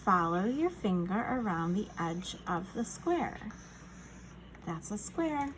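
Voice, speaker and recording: Female children's read-aloud teacher: unhurried, lively pitch swings, encouraging and instructional, slight noise behind. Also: rough recording. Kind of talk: reading a picture book aloud to young children.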